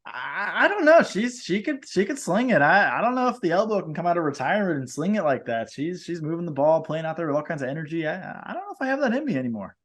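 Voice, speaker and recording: A man's voice: a little high, big pitch swings, quick pace, few pauses, fairly bright tone. A man working as a sports reporter, stammering and self-deprecating in chat. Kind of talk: casual chat and field interview.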